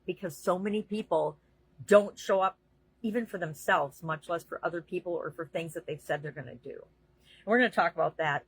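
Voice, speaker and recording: A woman's voice: fairly low pitch, husky, no room sound, poor audio. A middle-aged woman talking casually in a daily motivational vlog as a business coach, earnest and conversational with frequent fillers.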